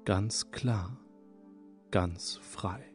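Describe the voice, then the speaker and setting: A man leading a guided hypnosis session, speaking in a calm, soothing, repetitive manner. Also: on the low side. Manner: slow, soothing, hypnotic, repeats phrases. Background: soft background music.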